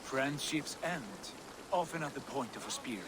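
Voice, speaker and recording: An actor playing a grim, pragmatic Viking warrior in a video game, cold and matter-of-fact. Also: male voice, mid-range voice, lively intonation, moderate pace, noisy background, natural voice. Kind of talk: dramatic video game acting.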